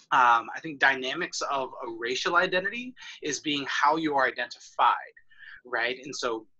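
A young man, a university scholar, talking on a video call in a relaxed, affable way, often adding "right?". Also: mid-range voice, slightly rough, low-quality recording. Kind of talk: conversational video-call interview. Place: home room with framed pictures. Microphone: laptop webcam on a video call.

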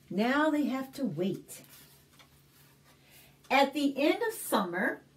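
A middle-aged American woman hosting a storytime, reading a picture book aloud to young children in an expressive, deliberate way with simple explanations. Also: high voice. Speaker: children's librarian and storytime host. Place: small indoor room, plain backdrop.

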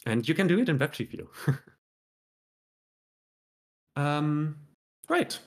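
A man in his thirties presenting a technical meetup talk from home over a video call, relaxed and self-deprecating, with lots of fillers and restarts as he demos software live. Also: a little high, slow.

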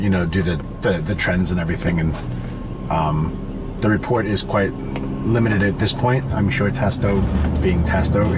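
A man in his thirties chatting casually and haltingly as an HVAC technician hosting a vlog. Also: lowish voice, very noisy. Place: inside a work van and outdoors in a yard.

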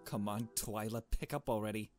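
Low-voiced man reading as a nervous, shaken mystery heroine. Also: normal speed, soft background music, close to an everyday voice. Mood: anxious. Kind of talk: visual novel story read aloud.

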